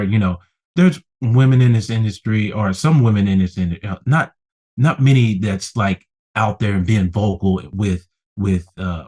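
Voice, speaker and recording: A man's voice: lowish voice, darkish tone. A man hosting a podcast, asking long, rambling questions in an encouraging way. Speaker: podcast host. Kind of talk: casual interview talk.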